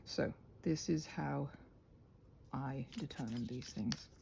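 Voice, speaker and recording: A woman hosting a rambling craft ASMR chat with a husky voice, in an expressive, tentative, self-deprecating way that meanders. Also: very deep voice, slow, a little hiss or hum. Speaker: channel host.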